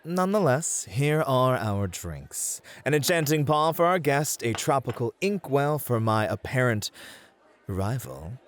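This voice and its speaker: Male actor as smooth-talking male club host, medium pitch, flirty, teasing, competitive, theatrical audio roleplay. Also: big pitch swings, a little slow, slight background noise. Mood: playful, suave. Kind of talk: flirty ASMR roleplay acting.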